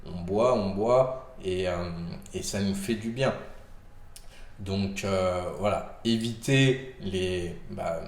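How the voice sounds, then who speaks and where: Guy with a cold, slow and rambly, lots of euh, lowish voice, roomy echo. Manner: rambling, many fillers and restarts, addresses viewer as tu. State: has a cold. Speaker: vlogger giving health advice. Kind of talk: casual advice to camera.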